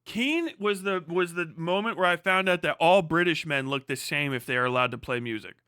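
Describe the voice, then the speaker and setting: A man with a fairly high, bright voice chats off the cuff as a livestream host. He swears freely and rambles into joking, exaggerated rants. The sound is close and dry. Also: brisk, clean tone, no room sound. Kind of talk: casual rambling livestream chat.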